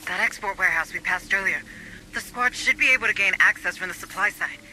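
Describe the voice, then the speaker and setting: A woman playing a wary, composed administrator on a military mission in a video game, speaking in a measured, questioning way. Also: mid-range voice, sharp tone, slight background noise, low-quality recording. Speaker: deputy administrator. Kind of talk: video game cutscene acting.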